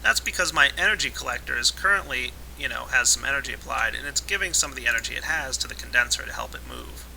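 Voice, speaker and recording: A man with a raspy voice today, casually explaining a modded game as its let's play host, with relaxed fillers. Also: medium pitch, quick pace, hardly any pauses, slight background noise, low-quality recording. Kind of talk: casual gameplay tutorial narration.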